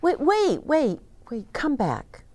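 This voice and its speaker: A woman's voice: high voice, huge pitch swings, takes it slowly, darkish tone, voice pushed up, heavily acted. A woman voicing an eager, hopeful young boy in a children's storybook, exclaiming plaintively. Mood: eager, calling. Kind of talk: children's storybook read-aloud.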